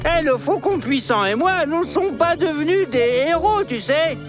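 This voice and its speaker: A man voicing a self-important, excitable flying dinosaur superhero in a French-dubbed cartoon, bossy and full of himself. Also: extremely high pitch, normal speed. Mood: boastful. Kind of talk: animated cartoon dub acting. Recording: music behind the dialogue.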